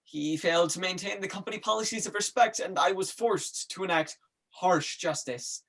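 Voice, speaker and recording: Company loyalist for a stage comedy, young male actor: high voice, formal, self-important, declamatory. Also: normal speed, creaky, a bit bright, heavily acted. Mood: grandiose. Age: young adult. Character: grandiose company man confessing with stiff loyalty. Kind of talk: student stage acting.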